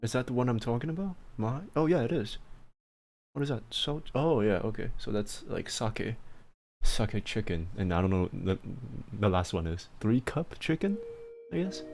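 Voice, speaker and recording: A man livestreaming and chatting casually, explaining things in halting bursts with frequent restarts and laughter, speaking with a mixed accent. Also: medium pitch, animated, a little mellow, soft background music. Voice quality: self-described mixed accent. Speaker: livestreamer answering chat.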